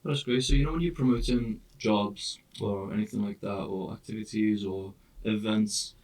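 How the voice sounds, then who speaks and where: A man's voice: on the low side, fairly even intonation, normal speed, darkish tone, far from the mic, rough recording. A young man who is a first-year student, politely asking questions as an interviewer. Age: young adult. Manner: polite and encouraging.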